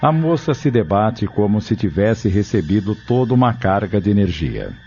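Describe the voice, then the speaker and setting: A man's voice: on the low side, very animated, moderate pace. A man with a Brazilian accent reading scripted narration for an audio novel. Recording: dull recording with faint music.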